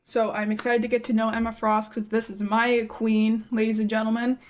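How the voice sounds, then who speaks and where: A young woman hosting a casual game reaction video, chatty, excitable and playful. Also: fairly high pitch, brisk. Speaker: YouTube reaction host.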